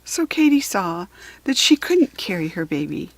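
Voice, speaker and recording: A woman narrates a children's storybook with deliberate, dramatic phrasing. Her pitch moves freely and her voice has a husky, breathy grain. The sound is close and dry. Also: very animated, no room sound, low-quality recording. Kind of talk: expressive read-aloud narration for children.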